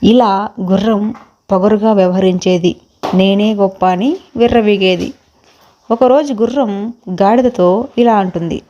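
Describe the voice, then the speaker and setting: Casting: woman narrator for scripted children's fables, mellow, average-pitched voice, lo-fi recording. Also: a little slow, long pauses. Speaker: story narrator.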